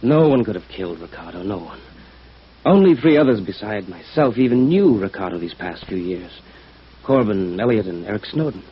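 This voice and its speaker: An actor playing a proud, passionate violinist with halting, fervent delivery in 1950s radio drama style. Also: male voice, on the low side, animated, husky. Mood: anguished. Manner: halting, fervent, emphatic repetitions. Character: anguished, passionate male violinist, proud and sensitive. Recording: muffled, hissy vintage radio recording.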